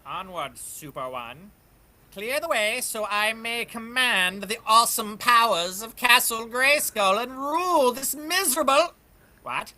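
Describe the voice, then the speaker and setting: Gloating skull-faced villain sorcerer acted by a reader, grandiose, bright forceful voice, animated swoops, comic table read. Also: medium pitch, a little slow. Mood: commanding, gloating. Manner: grandiose, declamatory.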